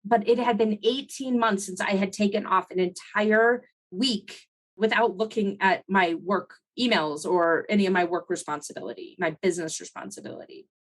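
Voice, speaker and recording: A woman's voice: medium pitch, moderate pace, rough recording. Chatty woman podcast host coaching listeners. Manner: conversational, encouraging, frequent "right?" asides. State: recovering from laryngitis. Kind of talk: solo podcast teaching talk.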